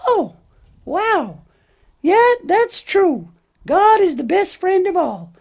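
A woman voicing an excitable, childlike little lamb with an earnest, emphatic manner in a children's puppet show. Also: extremely high pitch, takes it slowly, heavily acted. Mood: curious, thankful. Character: excitable little lamb, easily upset, devoted to friend.

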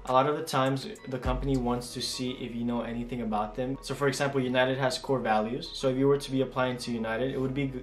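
A man's voice: medium pitch, reverberant. A man in his early twenties, a flight attendant chatting casually through viewer questions at home, rambling with lots of fillers and restarts. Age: 20s. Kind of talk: casual Q&A chat. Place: small home room indoors.

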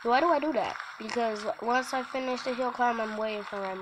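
A woman livestreamer reading a visual novel aloud, haltingly, breaking off for casual offhand asides. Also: on the high side. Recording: noisy mobile stream with clicks.

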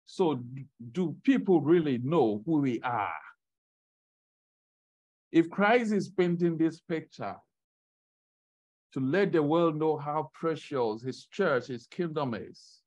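A middle-aged man preaching a sermon to a congregation in a church meeting room, asking them questions as he goes, heard from a camera at the back. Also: on the high side. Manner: unhurried, asks the congregation questions. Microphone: far camera at the back of the room.

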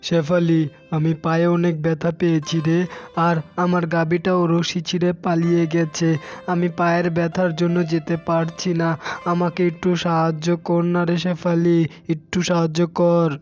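A man's voice: fairly high pitch, completely flat delivery, moderate pace, a little mellow, natural voice. A man voicing an injured, pleading uncle tiger in a fairy-tale cartoon. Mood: pained, pleading. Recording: dull lo-fi audio with music behind.

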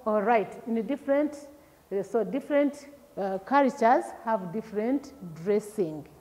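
A middle-aged Ugandan woman teaching a lesson to pupils, explaining slowly, asking them questions and repeating key words. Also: fairly high pitch, expressive, warm tone, big-room sound. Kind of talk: recorded classroom lesson for pupils. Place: classroom with projector screen.

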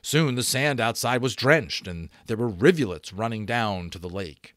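A man in his thirties reading a novel aloud as dramatic narration, with deliberate pauses and stressed repeats, close to a microphone. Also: lowish voice, animated, fairly bright tone, dry recording. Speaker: audiobook reader. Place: small room at home. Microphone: desk microphone close in front.

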